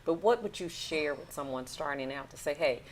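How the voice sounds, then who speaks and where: Low-voiced woman host, faint hiss. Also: animated, a little fast. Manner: enthusiastic, laughing asides. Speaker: podcast host. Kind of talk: casual interview.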